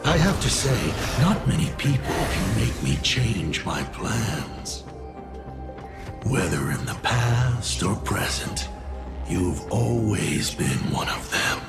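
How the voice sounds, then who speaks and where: An actor playing a smug, menacing older mentor turned adversary in a video game, in a gravelly voice. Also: male voice, on the low side, sing-song, takes it slowly, loud music behind the voice, heavily acted. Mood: smug, ominous.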